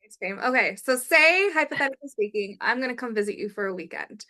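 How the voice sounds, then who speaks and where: A woman hosting a podcast, chatty and asking quick, friendly questions. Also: a little high, lively intonation, pushed and bright. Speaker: podcast host. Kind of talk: casual interview chat.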